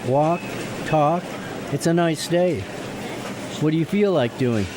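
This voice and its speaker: A man playing an awkward, earnest, lovestruck young lawyer with a gently rebellious streak in a radio drama. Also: fairly high pitch, slow, noisy background. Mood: awkward, smitten.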